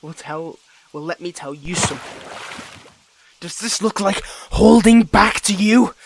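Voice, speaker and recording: A man playing a weary, defiant young superhero in a dramatic audio roleplay, speaking haltingly and broodingly to the listener. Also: high voice, vocal fry, very noisy. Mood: defiant, heated.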